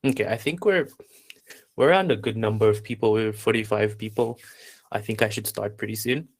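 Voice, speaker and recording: A man from Zambia hosting a live online workshop, chatting casually and haltingly as he reads out attendees' replies. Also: lowish voice. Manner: unhurried, halting, reading out chat replies. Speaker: workshop instructor and host.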